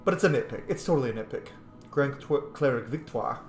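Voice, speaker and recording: A man's voice: mid-range voice, animated, a little fast. Roomy-sounding man doing casual game commentary. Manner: informal, opinionated, swearing. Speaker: gaming host. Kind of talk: casual roleplay playthrough commentary. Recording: roomy echo, game music behind.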